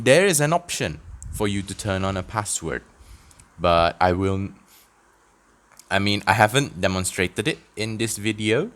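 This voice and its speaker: A man giving an informal step-by-step software tutorial, somewhat halting and dropping in light asides. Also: on the low side. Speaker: tutorial presenter, church tech volunteer. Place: indoors at a desk.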